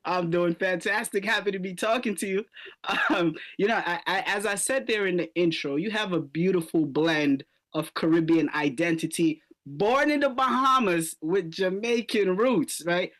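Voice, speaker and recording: High-voiced upbeat radio host man. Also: normal speed, a bit bright. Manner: upbeat, stumbles and repeats words. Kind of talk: radio interview.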